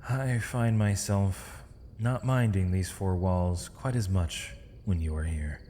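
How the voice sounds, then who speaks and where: A man playing a gentle, weary, eloquent imprisoned mage in an ASMR roleplay, speaking in measured, formal, poetic phrases with thoughtful pauses. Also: sits low, slight background noise. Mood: tender, soft. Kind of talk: ASMR roleplay, intimate dramatic monologue. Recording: long reverb, faint night insect ambience.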